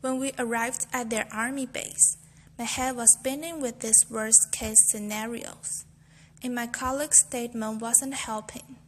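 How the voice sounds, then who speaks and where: A woman's voice: a little high, steady tone, a little slow, a little hiss or hum. A young woman, a university student, reciting a memorized speech in a careful, earnest storytelling way. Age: young adult.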